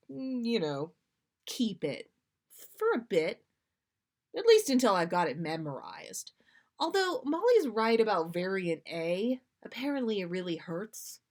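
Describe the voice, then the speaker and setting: A woman's voice: medium pitch, very animated, slow. A woman voicing a cheeky, coaxing man, a playful boyfriend, in a fan fiction reading. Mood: flustered. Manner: teasing, wheedling. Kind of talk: fan fiction read aloud.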